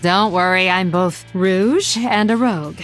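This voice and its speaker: An actress playing a sly, sardonic elf rogue assassin in a video game, with a dry, teasing, theatrical delivery. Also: female voice, on the low side, a little slow, faint music behind, lightly acted. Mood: playful, cocky. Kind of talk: video game character voice lines.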